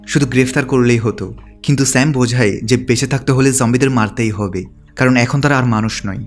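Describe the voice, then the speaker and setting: A man narrates from a script at a brisk pace. His voice sits at an average pitch and keeps a steady storytelling flow. Music plays behind him. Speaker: movie recap narrator. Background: background music.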